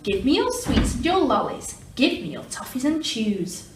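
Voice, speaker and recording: A woman voicing a bullying, greedy male rat highwayman in a storybook, bellowing his demands in a commanding, declamatory way. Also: very animated, normal speed. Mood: menacing demand. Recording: roomy, distant mic with clear background noise.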